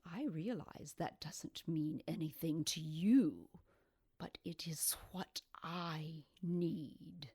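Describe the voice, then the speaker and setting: An actress playing an anguished, centuries-old cursed snake-woman in an audio drama, speaking formally and solemnly with breathy, hissing emphasis. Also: low voice, slow, warm tone, heavily acted.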